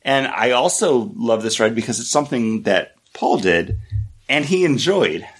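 A man co-hosting a podcast, chatting excitedly about theme park rides with lots of "like" fillers. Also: medium pitch, a little slow, husky. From lives in Orlando. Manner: excited, filler-heavy storytelling. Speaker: podcast co-host. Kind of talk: casual chat.

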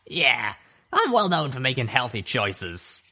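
A man's voice: medium pitch, wildly swooping pitch, unhurried, bright, forceful tone, husky, pitched above the performer's own voice, full character voice. A man voicing a tough, wry female griffin who smokes and talks in folksy slang.

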